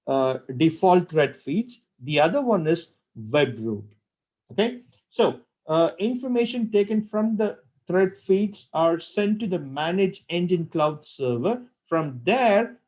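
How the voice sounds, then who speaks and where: A man presenting a software webinar on a computer call, talking with many fillers and repeated words. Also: fairly high pitch. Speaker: product presenter.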